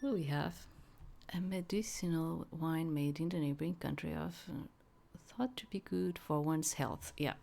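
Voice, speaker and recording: Low-voiced woman doing game commentary. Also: normal speed, gravelly, warm tone, a little hiss or hum. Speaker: let's play host. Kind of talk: casual gameplay commentary with read-aloud dialogue.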